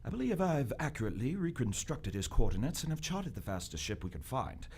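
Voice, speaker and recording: A man playing a stuffy, dutiful Victorian gentleman envoy, formal, precise and politely insistent, in live radio theater. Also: mid-range voice, very animated, a little fast, breathy edge. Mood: earnest, measured.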